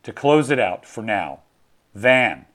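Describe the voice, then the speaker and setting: A man's voice: on the low side, big pitch swings, takes it slowly, a bit bright. A man hosting a podcast, chatting casually and playfully with a co-host and joking at his own expense while reading listener mail. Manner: playful, jokey, self-mocking.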